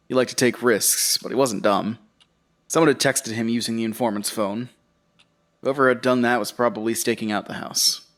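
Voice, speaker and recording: Casting: weary, uneasy man telling his horror story, conversational and halting, medium pitch, audio drama lead. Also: a bit bright. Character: weary, uneasy male survivor recounting a horror ordeal. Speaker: narrator and lead character. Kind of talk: audio drama first-person narration.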